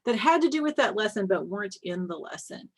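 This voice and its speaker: A woman co-host with a husky, slightly breathy voice talks about leading a study group. She is friendly and confiding, and she laughs easily during the casual chat. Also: mid-range voice, expressive, brisk. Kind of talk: casual teaching conversation.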